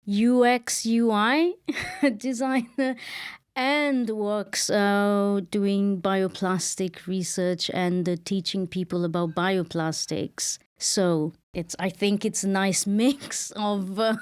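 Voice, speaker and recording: A woman co-hosting a podcast, asking questions and reacting with quick interjections and light laughs over a remote call. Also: medium pitch, steady tone, smooth, no echo, clean studio sound. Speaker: podcast co-host.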